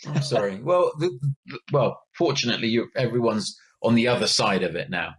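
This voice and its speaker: A man hosts an interview in a relaxed, conversational way. He repeats words while working out his questions. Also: medium pitch, fairly quick, husky. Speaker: podcast host. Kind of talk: casual interview.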